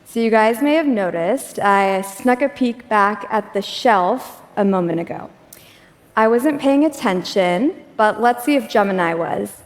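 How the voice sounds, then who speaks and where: A woman's voice: mid-range voice, lively intonation, measured pace. A woman runs a live demo on stage, upbeat and chatty, with a few filler words. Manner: upbeat, chatty, laughs often, some fillers. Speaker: demo presenter. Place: stage of a large auditorium. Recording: echoey hall reverb.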